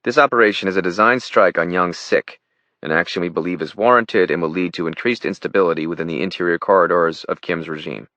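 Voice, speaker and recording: A man's voice: low voice, quick pace, bright, forceful tone, no room sound, muffled recording. A man playing a confident, brusque paramilitary chief in his thirties, delivering lines like a firm military briefing in an audiobook. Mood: businesslike, firm. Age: 30s.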